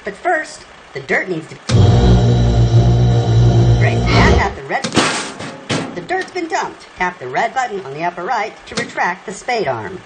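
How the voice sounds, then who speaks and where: A woman's voice: medium pitch, very noisy. Bouncy, sing-song woman giving game instructions. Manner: upbeat, encouraging, clearly spoken instructions. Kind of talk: cheerful scripted game prompts. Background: loud game music.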